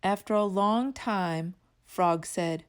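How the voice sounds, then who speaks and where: A woman reading a children's story aloud as narrator, in an expressive storytime style. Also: medium pitch, slow, clean tone. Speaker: storytime reader.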